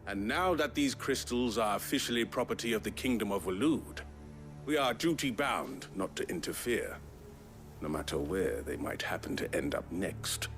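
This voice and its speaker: A sly official dealing behind closed doors. His voice is fairly low and smooth, with a lively rise and fall, and faint music beneath. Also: normal speed. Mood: smug. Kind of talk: video game cutscene acting.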